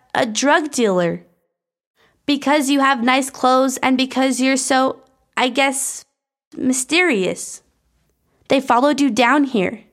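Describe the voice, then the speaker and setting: A woman's voice: high-pitched, pitched above the performer's own voice. A woman voicing an earnest, loyal, anxious teenage schoolgirl in an audiobook story. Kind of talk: audiobook character dialogue.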